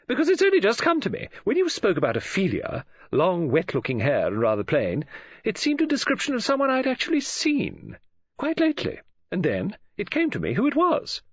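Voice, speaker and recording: A man voicing an excitable, chatty, fussy middle-aged woman in an audiobook, gushing and exclaiming with swooping emphasis. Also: high voice, brisk, breathy edge, dry recording, highs cut off, voice pushed up, full character voice. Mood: dawning realisation.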